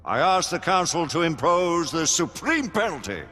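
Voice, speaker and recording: A man's voice: on the high side, very animated, moderate pace, pushed and bright, a little hiss or hum. A man playing a stern presiding judge of a knightly council in a video game, speaking in formal, accusing declamation. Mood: grave, accusing. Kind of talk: theatrical period drama game acting. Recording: long hall reverb.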